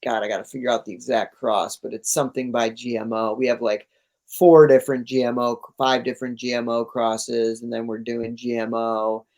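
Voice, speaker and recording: A man's voice: medium pitch, flat delivery, moderate pace, a little mellow. A man talking casually and enthusiastically as an interview guest, in laid-back, slangy language. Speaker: interview guest, cannabis grower.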